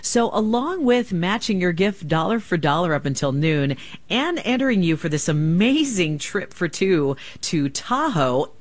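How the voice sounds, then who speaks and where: A woman's voice: fairly low pitch, very animated, a little slow, no room sound. A woman radio host making a chatty, enthusiastic pledge-drive appeal from a studio microphone.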